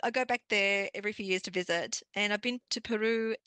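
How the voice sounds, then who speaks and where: Brisk, fast-talking female interviewer. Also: mid-range voice, bright, forceful tone, clear, resonant tone, dry recording. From Colombia. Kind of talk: conversational interview questions.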